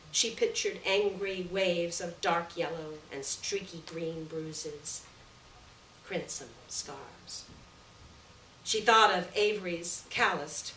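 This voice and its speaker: A woman with a fairly low voice reads literary fiction aloud with deliberate, measured phrasing. She is heard at some distance from the microphone in an echoey room. There is faint noise behind her. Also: a bit bright. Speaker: reader of a short story. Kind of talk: literary story reading, scripted narration. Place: roomy venue at a live reading.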